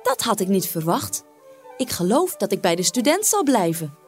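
A woman's voice: mid-range voice, sing-song, moderate pace, soft background music, close to an everyday voice. Woman voicing a small earnest fairy-tale gnome. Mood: delighted. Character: small wistful male gnome, sensible and food-loving.

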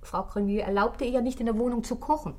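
Eine Schauspielerin spielt in einem deutschen Hörspiel eine geschwätzige, ausweichende Concierge, die zögernd und vertraulich redet. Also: female voice, fairly high pitch, brisk, darkish tone, natural voice. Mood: evasive, confiding. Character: gossipy, evasive, money-minded Parisian concierge woman. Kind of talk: German radio play acting.

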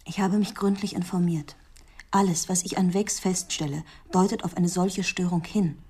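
Husky, earnest therapist. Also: female voice, on the low side, fairly even intonation, moderate pace, darkish tone. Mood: earnest, determined. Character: earnest, determined female therapist, devoted to her patient. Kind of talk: radio drama acting.

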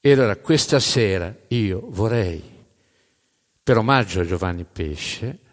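A man narrating a story on stage in dramatic spoken-word style, emphatic, with deliberate pauses and repeated phrases. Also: lowish voice. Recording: reverberant hall live recording.

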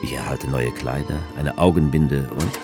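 A man's voice: a bass voice, fairly even intonation, moderate pace. An actor playing a male writer who travels to Tripoli and narrates the story in a dry, ironic way. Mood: wry. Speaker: narrator as author character. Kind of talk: radio drama narration. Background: music score.

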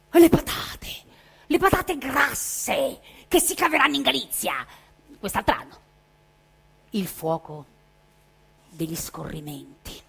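A woman giving a theatrical literary recitation as a stage reader, declamatory, stretching words and pausing. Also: medium pitch, gravelly, fairly bright tone, husky.

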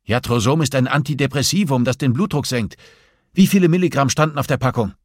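A man acts out a forceful male doctor in an audiobook thriller, pressing with clipped commands and questions. The voice is raised and husky, urgent and impatient, in a very dry recording. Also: medium pitch, fast talker, no echo, pitched above the performer's own voice, close to an everyday voice. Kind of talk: audiobook dialogue acting.

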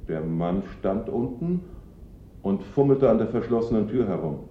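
A man's voice: lowish voice, lively intonation, moderate pace, roomy sound, narrow, tinny audio, slight background noise, natural voice. An actor playing an uneasy, evasive working man giving hesitant witness answers in a German radio play. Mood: guarded.

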